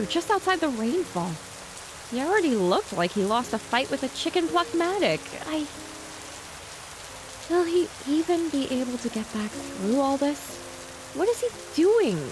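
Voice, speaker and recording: A woman's voice: high-pitched, big pitch swings, moderate pace, noisy background. A woman playing an anxious, naive young pony adventurer, worried and questioning, in a fantasy audiobook. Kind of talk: acted audiobook dialogue.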